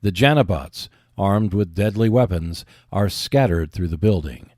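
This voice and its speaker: Male podcast host, lower register, very animated delivery of scripted narration. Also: unhurried, dry recording, clean studio sound.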